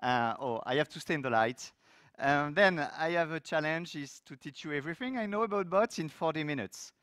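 A man's voice: fairly high pitch, fairly quick, dry recording, studio quality. French-accented man giving a conference talk. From France. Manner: loose, lively delivery with frequent fillers and restarts. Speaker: conference speaker. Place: large conference hall stage.

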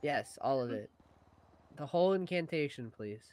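A woman's voice: very deep voice, expressive, moderate pace, a little mellow. Playful, coaxing woman in game roleplay. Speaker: online roleplay player. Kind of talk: casual improvised game roleplay.

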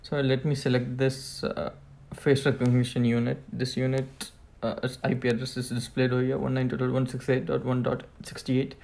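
Male tutorial presenter, gravelly mid-pitched voice, plain step-by-step product walkthrough. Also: completely flat delivery, fairly quick, few pauses, darkish tone. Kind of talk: hands-on product setup walkthrough.

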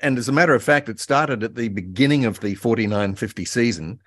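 An older man from Australia chatting casually as an interview guest, explaining things in a halting, rambling way. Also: mid-range voice, fairly bright tone, no room sound, studio quality. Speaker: author and voice actor, guest. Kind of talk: casual interview chat, reminiscing. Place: home room, indoors, on a video call. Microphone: computer mic on a video call.

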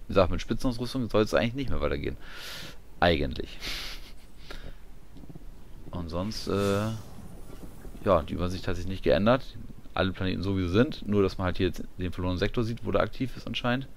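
A man giving casual gameplay commentary, muttering along as he reads menu items, with game sound behind him. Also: deep voice. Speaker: gamer commentating his playthrough. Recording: clear background noise, game ambience.

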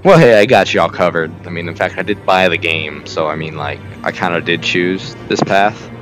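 A man's voice: sits low, moderate pace, low-quality recording. A congested young man giving playful, joking commentary as he plays a game. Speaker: gamer. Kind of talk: casual let's-play commentary. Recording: voice over loud game music.

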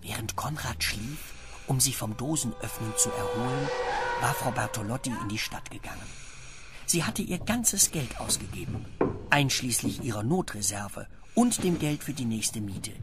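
A man's voice: medium pitch, lively intonation, moderate pace, loud music behind the voice. A man narrating a children's radio play as a scripted storyteller.

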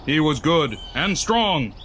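Man as a plodding, slow cartoon mourner. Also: a little high, sing-song, fairly bright tone, a little hiss or hum, exaggerated voice, low-quality recording. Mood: solemn, dim. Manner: repetitive, plodding. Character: slow, simple-minded, solemn cartoon mourner.

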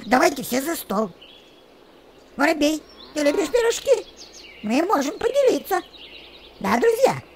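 A woman's voice: high voice, very noisy, voice pushed up, exaggerated voice. A woman voicing a friendly, generous little dog with a barking, inviting manner in a children's story.

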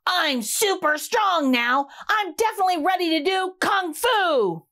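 An eager little vegetable boy from a storybook, acted out by a woman. The voice is high and swings widely, exclaiming with wide-eyed emphasis and quick to get upset. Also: animated, fairly bright tone, heavily acted. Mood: proud. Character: eager, easily upset young rutabaga boy.

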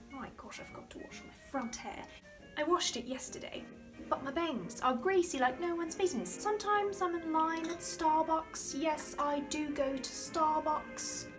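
A woman playing a rambling, easily distracted older lady who is baffled by technology, talking to a phone camera. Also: high-pitched. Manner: rambling, easily distracted, prim yet crude. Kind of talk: comedic character monologue to camera.

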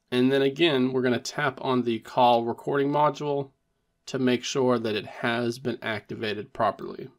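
A man presenting a tech tutorial in a methodical, step-by-step instructional narration. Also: medium pitch.

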